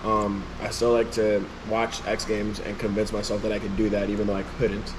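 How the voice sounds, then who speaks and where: A young man from Chicago, a musician guest, chatting casually in an interview. He gets animated, laughs often and leans on "like" a lot. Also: on the low side, moderate pace, background noise. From Chicago, United States.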